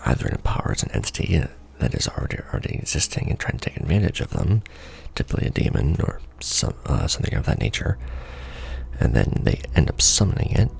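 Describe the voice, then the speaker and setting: A man performing an ASMR roleplay as a seasoned, wry secret-society official, talking softly and casually with a gravelly, breathy undertone. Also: very deep voice, very animated. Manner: wry, casual, unhurried explaining. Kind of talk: ASMR roleplay monologue, soft conversational briefing.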